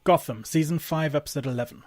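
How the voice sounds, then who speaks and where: A man reading a scripted episode recap with sardonic, deadpan asides. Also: a little high, fast talker, no room sound.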